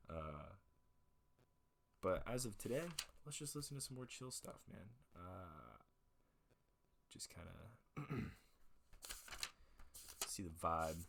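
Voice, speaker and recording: Very deep-voiced young man rambling casually. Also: creaky. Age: young adult. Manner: rambling, lots of fillers and self-corrections. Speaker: podcast host. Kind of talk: relaxed casual chat. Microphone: USB desk mic with headphones.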